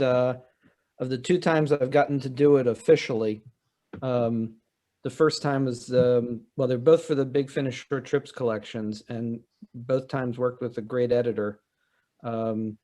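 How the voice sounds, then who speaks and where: A man speaking as a writer on a panel, explaining things conversationally with halting starts and self-interruptions. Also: medium pitch, darkish tone. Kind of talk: casual panel discussion.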